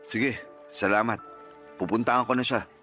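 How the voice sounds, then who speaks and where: A man's voice: medium pitch, fairly even intonation, moderate pace, bright, forceful tone, soft background music, close to an everyday voice. An actor playing a cold, reproachful, well-off estranged father in a radio drama. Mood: resigned. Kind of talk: Tagalog radio drama acting.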